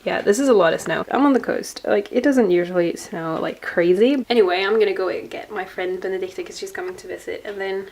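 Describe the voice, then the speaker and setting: A woman's voice: mid-range voice, quick pace, few pauses, a little mellow. A young woman from Norway chatting casually to a handheld camera, telling a story with frequent giggles. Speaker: vlogger. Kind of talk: casual vlog chat to camera. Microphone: handheld camera at arm's length.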